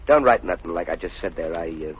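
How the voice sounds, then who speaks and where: A man's voice: low voice, lively intonation, fast talker, slightly rough. An actor playing a surly, bitter gangster in an old radio drama, tough and slangy in his talk. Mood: subdued, uneasy. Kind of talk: 1930s radio drama acting. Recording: muffled old radio recording.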